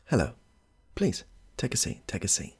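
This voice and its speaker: A British man playing a polite, chatty therapist in a gentle, soft-spoken ASMR roleplay. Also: medium pitch, very animated, normal speed, vocal fry, husky, faint music behind, exaggerated voice. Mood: welcoming, reassuring. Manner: gentle, reassuring, murmuring small approvals. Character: polite, chatty, slightly odd male therapist greeting a client.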